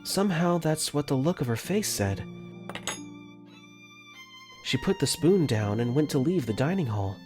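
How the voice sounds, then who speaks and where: A young man voicing an earnest, caring dorm caretaker for a fan dub, gently narrating his own thoughts in first person. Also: fairly high pitch, expressive, a little slow, long pauses. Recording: faint music under the voice.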